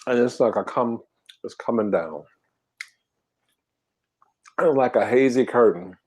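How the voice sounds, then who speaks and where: A man hosting a casual eating stream, talking softly and half-whispering in a relaxed, drowsy way while reading comments. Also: medium pitch, a little slow, husky. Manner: soft, quiet, half-whispered talk. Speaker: ASMR mukbang channel host.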